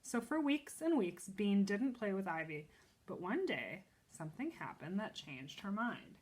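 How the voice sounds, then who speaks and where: A woman reads a children's book aloud in a teacher's style. Her mid-range voice is expressive, and she steps out of the story to explain and question. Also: medium pitch. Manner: expressive, pauses to explain pictures and ask questions.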